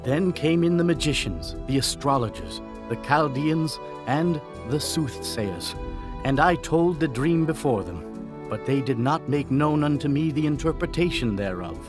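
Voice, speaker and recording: A proud old-world king, kinda high voice, big declaring delivery, music playing under it. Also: expressive, measured pace. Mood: solemn recounting. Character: proud ancient king, commanding and awestruck. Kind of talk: dramatized scripture reading. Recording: clear music bed behind.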